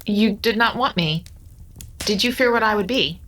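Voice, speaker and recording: A woman's voice: medium pitch, moderate pace, a bit bright, slight background noise, natural voice. A woman playing an inhumanly curious shapeshifting daughter spirit in an audio drama, questioning in a formal, literal way. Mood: probing, detached.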